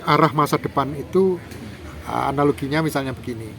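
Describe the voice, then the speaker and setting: A man's voice: fairly quick, vocal fry, a little hiss or hum. A man from Java, an interview guest explaining culture in a lecturing, emphatic way. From Java, Indonesia. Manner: lecturing, emphatic, lively rises in pitch for examples.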